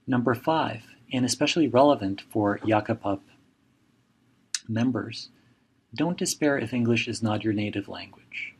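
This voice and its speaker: Gravelly middle-aged man. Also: medium pitch, poor audio. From Mexico. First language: Spanish. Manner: measured, advising, direct imperatives. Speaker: journal editor and professor. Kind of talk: recorded seminar lecture.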